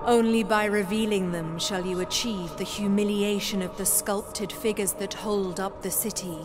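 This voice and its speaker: A woman's voice: medium pitch, natural voice. A woman playing a serene heavenly preceptor in a video game, speaking in a formal, reverent, liturgical manner. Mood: solemn, instructing. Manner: solemn, formal, measured liturgical delivery. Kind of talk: scripted dramatic video game monologue.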